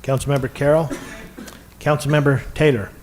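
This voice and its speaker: A man's voice: medium pitch, level tone, normal speed, a little mellow, slight background noise. A man speaking formally as he runs a public meeting and moves through the procedure. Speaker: council meeting chair.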